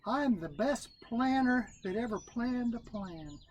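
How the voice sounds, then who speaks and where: A man's voice: very high voice, lively intonation, takes it slowly, lo-fi sound, a little hiss or hum, pitched above the performer's own voice, exaggerated voice. Brer Rabbit, as a man plays a sly drawling trickster. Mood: scheming. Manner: smooth, drawling, boastful.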